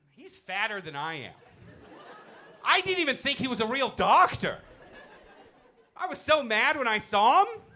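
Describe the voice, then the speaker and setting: A young man from Iran doing a brash, animated stand-up set in a comedy club and teasing the crowd on a handheld mic. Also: high-pitched. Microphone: handheld stage mic.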